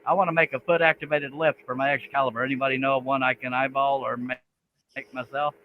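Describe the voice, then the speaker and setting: A man's voice: medium pitch, level tone, fast talker. Folksy texas guy hosting a stream, tinny phone-ish audio, little hiss. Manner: folksy, says "dang". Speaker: live stream host. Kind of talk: casual chat. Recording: tinny phone-like line with faint noise.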